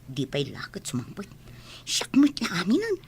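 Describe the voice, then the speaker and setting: A woman's voice: lowish voice, huge pitch swings, normal speed, slight background noise. A woman playing a resentful daughter who whines and complains, in an Ilocano radio comedy drama. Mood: grumbling, irritated. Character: grumbling, resentful adult daughter.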